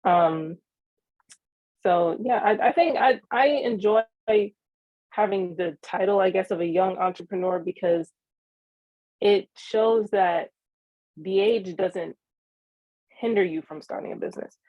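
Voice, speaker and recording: A woman's voice: medium pitch, unhurried, pauses often, no echo, lo-fi sound. An eighteen-year-old woman from New York answering interview questions about her small business, earnest, with frequent ums and restarts. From New York (Queens). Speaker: young entrepreneur guest.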